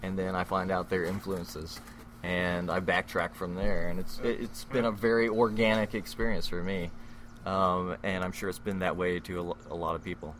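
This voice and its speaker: A lower-voiced man speaks with a bright, forward tone, earnest and halting as he thanks someone. Faint noise sits behind him. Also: little pitch movement. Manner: earnest, halting, self-deprecating. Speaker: guest. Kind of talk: casual chat.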